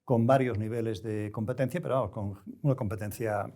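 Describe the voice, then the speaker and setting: Interview guest: older male, European Spanish accent, dark relaxed timbre, deliberate, erudite, searching for the exact word. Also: on the low side. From Spain. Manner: deliberate, halting, with erudite careful word choice. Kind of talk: reflective interview conversation.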